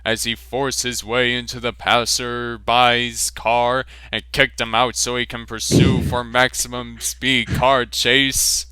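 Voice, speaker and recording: A man narrating an amateur fan fiction reading in a slow, amused, deadpan way. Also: mid-range voice, smooth, dry recording.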